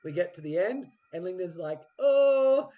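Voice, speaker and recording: A man's voice: high voice, expressive, a little slow, warm tone, lo-fi sound. A man hosting a podcast in casual chat, telling anecdotes animatedly and acting out the dialogue in them. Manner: acts out quoted dialogue while telling stories. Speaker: podcast host and interviewer. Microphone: recorded remotely on a phone.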